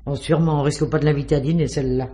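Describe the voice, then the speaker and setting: An actress playing a chatty, catty concierge in a 1950s French radio mystery, gossipy and insistently helpful. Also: female voice, deep voice, steady tone, rapid-fire, mellow, lightly acted. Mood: catty, disapproving. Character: gossipy, spiteful, eager-to-help building concierge woman.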